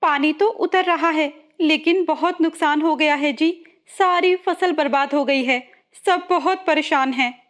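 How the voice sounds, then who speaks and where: A woman's voice: high voice, unhurried, voice pushed up. A woman voicing a devoted, caring young village wife in a story. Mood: worried. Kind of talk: story dialogue.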